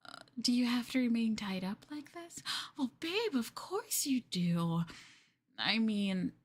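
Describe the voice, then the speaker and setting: Woman acting a slow, sweetly possessive yandere girlfriend. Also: medium pitch. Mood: lovestruck, possessive. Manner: cooing, breathy asides, coaxing tag questions. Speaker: ASMR roleplay streamer. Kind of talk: ASMR girlfriend roleplay, addressing the listener intimately.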